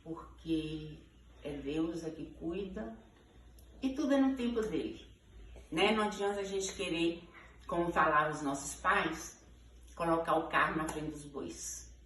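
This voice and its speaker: Slow-talking older Brazilian woman, echoey room. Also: sits low, fairly bright tone. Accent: Brazilian Portuguese, Minas Gerais. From Ferros, Minas Gerais, Brazil. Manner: conversational, frequent checking tags like "né" and "sabe". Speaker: interviewee, teacher. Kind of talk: informal interview answers. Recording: echoey room, distant mic, background noise.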